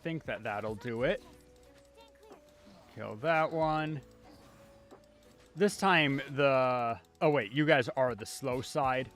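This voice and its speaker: A man's voice: mid-range voice, slow pace, long pauses, fairly bright tone, faint music behind. A man giving casual live commentary as he plays a video game, talking half to himself as a streamer. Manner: casual, muttering self-talk while playing.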